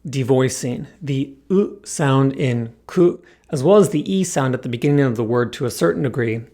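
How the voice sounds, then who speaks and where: A young man narrating a scripted language lesson, explaining clearly with a touch of self-deprecating humor. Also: mid-range voice, moderate pace, dark tone. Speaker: language teacher and channel host.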